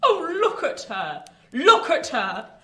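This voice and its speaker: Radio drama deranged, embittered old woman: high, wildly swooping, anguished delivery, roomy echo. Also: huge pitch swings, slow pace, sharp tone, slight background noise, full character voice. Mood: bitter accusing. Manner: anguished, declamatory, repeating phrases. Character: embittered, deranged old adoptive mother, a wealthy recluse. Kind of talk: theatrical radio play acting.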